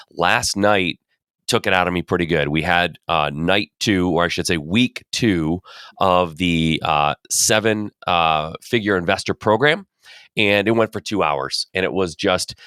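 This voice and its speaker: A man hosting a podcast who is under the weather, talking in a chatty, energetic way in a live Q&A. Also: sits low, moderate pace, clear, resonant tone, no room sound, clean studio sound. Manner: energetic, chatty, repeats phrases. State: under the weather, voice deeper than usual. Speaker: podcast host. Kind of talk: casual live Q&A talk.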